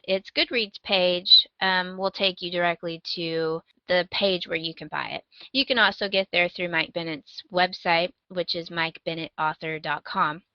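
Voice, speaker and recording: A woman's voice: mid-range voice, dry recording, lo-fi sound. A woman co-hosting a podcast, chatting casually in a conversational, wry and emphatic way as she gives recommendations. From United States. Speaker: podcast co-host.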